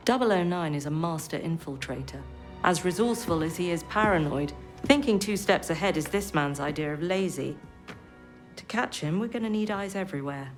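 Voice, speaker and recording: An actress playing an intelligence chief in a video game, formal, dry and authoritative. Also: female voice, on the low side, lively intonation, brisk, soft background music, lightly acted. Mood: businesslike, commanding. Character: authoritative, dryly commanding female British spy chief. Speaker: intelligence chief briefing agents. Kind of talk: video game cutscene acting.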